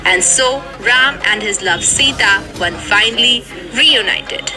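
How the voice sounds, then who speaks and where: Female storyteller for kids' tale narration, higher-pitched voice, scripted read over a prominent music bed. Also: slow pace, rough recording.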